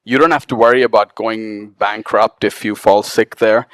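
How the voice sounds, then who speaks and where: A man talking about his travels at a book event, animated and quick to laugh. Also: lowish voice, dry recording. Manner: animated storyteller, laughs often. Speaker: author. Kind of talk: casual talk at a book event. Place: event hall with live audience.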